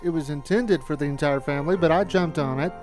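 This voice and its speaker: Higher-voiced middle-aged man narrating. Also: quick pace, darkish tone, soft background music. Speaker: filmmaker narrator. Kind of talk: documentary reminiscence narration.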